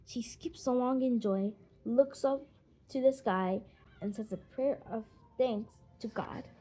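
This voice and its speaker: A woman's voice: a little high, slow, warm tone, faint music behind. A woman narrating a children's storybook as an audiobook.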